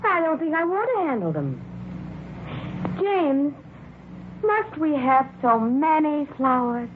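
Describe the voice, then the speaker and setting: An actress playing a jealous, anxious wife, plaintive and emotional, in 1940s radio drama style on a noisy, muffled old recording. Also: female voice, high voice, very animated, takes it slowly, exaggerated voice. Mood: fretful. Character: jealous, anxious young-hearted wife, fretful and pleading.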